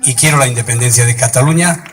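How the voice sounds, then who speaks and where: A man's voice: medium pitch, fast, loud music behind the voice. A man in his seventies from Madrid, giving an impassioned public speech on pensions to an audience of retirees, building to emphatic repeated points with frequent restarts. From Madrid, Spain. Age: 70s. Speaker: speaker at a public meeting on pensions.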